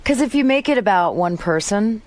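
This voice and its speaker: A woman's voice: mid-range voice, brisk. A middle-aged woman talking frankly as a songwriter guest on a radio show. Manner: frank, animated storytelling. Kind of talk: radio interview.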